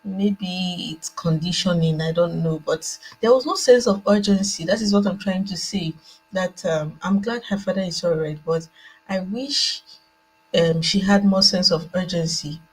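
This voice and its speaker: A woman's voice: on the low side, measured pace, breathy edge, faint music behind, low-quality recording. A woman with a Nigerian accent giving unscripted opinions as a remote guest, speaking hesitantly and reflectively and repeating phrases for emphasis. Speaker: remote guest on a panel discussion. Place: indoors at a laptop on a remote online call.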